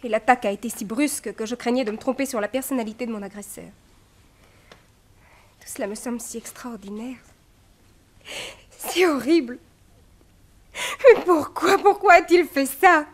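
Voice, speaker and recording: A distraught young heiress. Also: female voice, high-pitched, normal speed, long pauses. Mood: grieving. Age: young adult. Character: young woman whose father has been killed.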